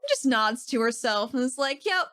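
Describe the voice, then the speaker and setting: Woman player, lively swooping pitch, playful, dry close mic, faint music. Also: moderate pace, no room sound. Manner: playful, expressive, laughs often. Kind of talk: casual tabletop play.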